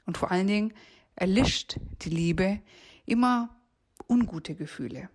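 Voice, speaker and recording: A woman's voice: medium pitch, lively intonation, a little slow, a little mellow, breathy edge. A woman of forty-seven giving a personal, reflective monologue. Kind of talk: personal monologue about feelings.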